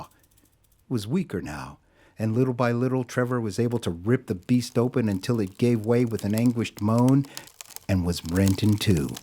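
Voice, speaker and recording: Low-voiced man narrating a dramatic story. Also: a little mellow, a little hiss or hum.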